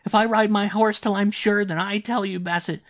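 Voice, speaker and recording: A frantic, feverish little boy, played by a man in a storybook reading. The voice is pushed very high and loud, crying out with urgent, insistent excitement, and it is recorded close and dry. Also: normal speed, dry recording, lightly acted. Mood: feverishly excited. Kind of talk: dramatised audiobook reading.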